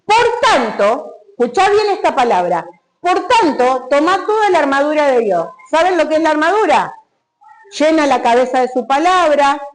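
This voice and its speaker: High, lively woman preaching. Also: poor audio. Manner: emphatic, questions the congregation directly. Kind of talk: sermon.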